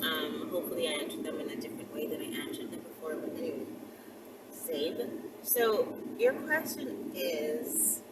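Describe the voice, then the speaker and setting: A woman's voice: low voice, expressive, moderate pace. A woman talking casually and bluntly to her viewers, with a sassy manner, as a content creator. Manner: sassy, blunt, heavy swearing. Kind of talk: casual rant to camera, addressing viewers directly. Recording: distant mic with clear background noise.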